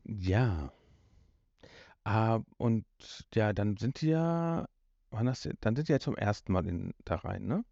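A man's voice: lowish voice, animated, a little slow, vocal fry, warm tone, no room sound, lo-fi sound. A man co-hosting a podcast, chatting casually and jokingly about an audio drama. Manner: joking, mimicking. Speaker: podcast co-host.

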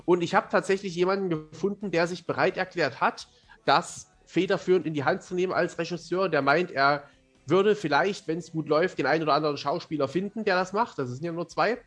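A man's voice: fairly high pitch, fairly quick, a bit bright, faint music behind. A man from eastern Germany talking casually as an author being interviewed on a podcast, thoughtful and self-deprecating.

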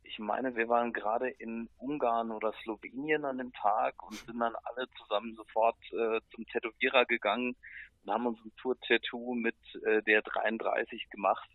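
Male musician interviewee, middle pitch, colloquial and halting delivery, very dull lo-fi audio. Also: fairly even intonation. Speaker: metal band musician, interview guest. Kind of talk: casual spoken interview.

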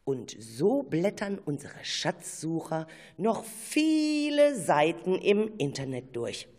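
A woman's voice: fairly low pitch, very animated, takes it slowly, a little mellow. A woman narrating a children's radio play in a scripted storytelling style.

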